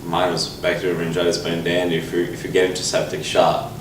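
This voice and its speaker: A young man from Brazil, calmly and thoughtfully telling his own story as an interview guest. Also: low-pitched, a little fast. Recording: distant, roomy with background noise.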